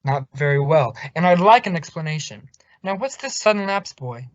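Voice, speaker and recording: A man's voice: on the high side, lively intonation, moderate pace, dry recording, natural voice. A man acting as a blustery older boss in a vintage radio sitcom, dry and sardonic. The recording is dull and close, without room sound. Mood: sarcastic.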